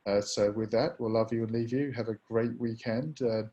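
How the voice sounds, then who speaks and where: A man's voice: medium pitch, steady tone, normal speed, warm tone, dull audio. A man hosting a business webinar, moderating the discussion in a conversational way. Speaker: webinar host.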